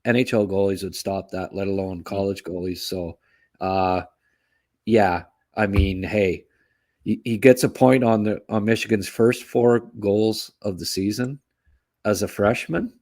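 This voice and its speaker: A man with a fairly low voice talks hockey as a podcast co-host. He is chatty and informal, breaking down plays and prospects, and likes to end a thought with a quick "eh". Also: a little mellow. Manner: chatty, tags remarks with "eh", chuckles at own asides. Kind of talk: casual hockey analysis.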